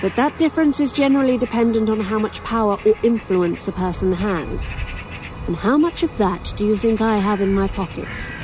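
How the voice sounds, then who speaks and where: A woman's voice: medium pitch, moderate pace, natural voice. An actress plays a weary young woman writer in an audio drama, sardonic and dry. Her delivery is that of someone worn down and unimpressed. Faint outdoor noise and cawing sit behind her voice. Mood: sardonic, bitter. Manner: dry, sarcastic, hesitant. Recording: faintly noisy outdoor sound bed. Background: crows cawing, outdoor ambience.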